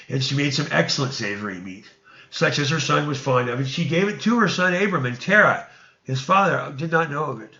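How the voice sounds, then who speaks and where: A man's voice: on the high side, animated, moderate pace, sharp tone, reverberant. A man reading scripture aloud as theatrical narration, now and then stumbling over words, in a lo-fi recording. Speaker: reader. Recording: dull, lo-fi sound.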